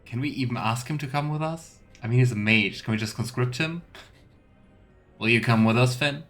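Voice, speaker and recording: A man's voice: mid-range voice, moderate pace, fairly bright tone, faint music behind. A man streaming a video game, giving casual commentary and thinking aloud. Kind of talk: casual let's play commentary.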